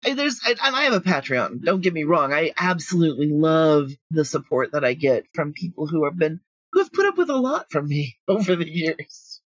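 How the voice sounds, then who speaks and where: A woman's voice: low voice, sing-song, normal speed, husky, no room sound. Blunt woman, dull lo-fi recording. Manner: blunt, self-assured, talkative. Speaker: guest, audio drama writer and producer. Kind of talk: candid interview conversation.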